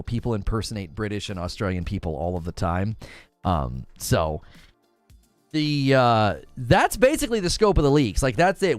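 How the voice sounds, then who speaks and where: A man's voice: on the low side, no echo. A man hosting a casual gaming news podcast, talkative and loose, repeating words as he thinks aloud. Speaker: podcast host.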